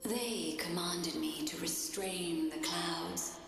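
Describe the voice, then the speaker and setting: A woman voicing a solemn, ancient female spirit guardian in a video game, speaking in stately archaic phrasing with breathy, softly whispered endings. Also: fairly low pitch, slow pace. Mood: solemn, grateful. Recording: cavernous reverb over music.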